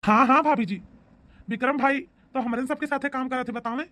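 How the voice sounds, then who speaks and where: Man as a polite, high-voiced Awadhi workmate. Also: steady tone, brisk, sharp tone, no echo. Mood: polite, helpful. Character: polite young male workmate on the phone. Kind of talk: village comedy cartoon acting.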